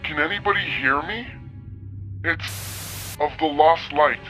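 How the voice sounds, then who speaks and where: Man as a bright-voiced, anxious robot messenger. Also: a little high, slow, faint music behind. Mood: anxious, urgent. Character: anxious male robot sending a desperate warning. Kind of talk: animated sci-fi motion comic acting.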